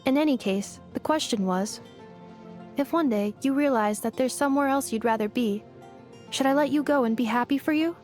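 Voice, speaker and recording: A woman voicing a polite, analytical robot maid in a video game, speaking in precise, measured, formal sentences, calm and earnest. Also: high voice, close to an everyday voice. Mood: calm, reflective. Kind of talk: scripted game character voice lines. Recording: music behind the voice.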